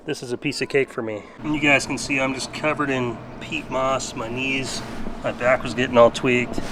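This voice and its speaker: A man's voice: medium pitch, a bit bright, a little hiss or hum. A man in his late thirties rambling casually to his viewers about his home chores, in a chatty, self-deprecating way. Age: 30s. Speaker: car channel vlogger. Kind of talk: casual vlog. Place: backyard and garage at home.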